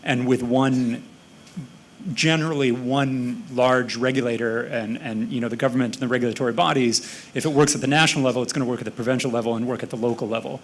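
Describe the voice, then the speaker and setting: A man's voice: medium pitch, slight background noise. American man musing on a panel. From United States. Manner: thoughtful, self-correcting, conversational. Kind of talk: panel discussion.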